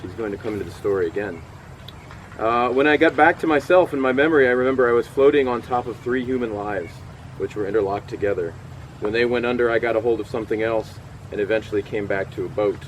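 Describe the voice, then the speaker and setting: A middle-aged man giving a first-person historical portrayal outdoors, telling his story with frequent pauses and fillers. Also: lowish voice, a little hiss or hum, lightly acted, low-quality recording. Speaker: historical portrayer at a cemetery event. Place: outdoors in a cemetery. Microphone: far camera.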